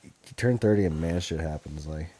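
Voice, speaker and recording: Podcast host: American male, thirty, deep relaxed voice, loose and foul-mouthed conversational delivery. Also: brisk. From Montana, USA. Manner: crude, profanity-heavy slang. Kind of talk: casual chat.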